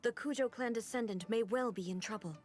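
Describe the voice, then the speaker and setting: A dignified female shogun, played by a woman, with a fairly high voice and formal, measured authority. She is solemn and resolute, a video game ruler heard over clear music. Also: darkish tone, lightly acted. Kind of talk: video game character acting.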